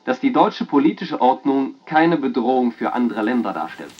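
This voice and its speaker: A formal 1940s newsreader. His mid-pitched voice comes through a dull, band-limited old radio filter in a historical docudrama. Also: expressive, moderate pace. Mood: emphatic, formal. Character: formal 1940s radio announcer reading press commentary. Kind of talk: 1940s radio news reading.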